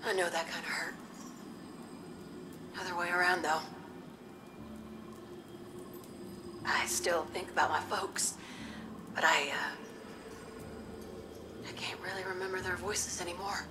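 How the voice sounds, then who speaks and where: A woman playing a kind, plainspoken friend in a video game drama, gentle, folksy and a little hesitant. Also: fairly low pitch, fairly even intonation, normal speed, long pauses, lightly acted. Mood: wistful. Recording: noisy ambient background.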